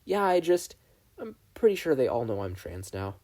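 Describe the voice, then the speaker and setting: A man reads as a self-doubting boy in a storybook narration. He is hesitant and vulnerable, with a soft, husky edge to the voice. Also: medium pitch, sing-song, moderate pace. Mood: nervous.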